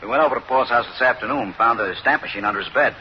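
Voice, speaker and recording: A man acting a businesslike American detective in a vintage radio crime show. He delivers facts quickly and plainly. The sound is dull with faint noise. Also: medium pitch, natural voice. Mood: factual report. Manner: matter-of-fact. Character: businesslike male police detective, respectful, flatly factual. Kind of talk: old-time radio police drama acting. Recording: muffled, noisy old radio recording.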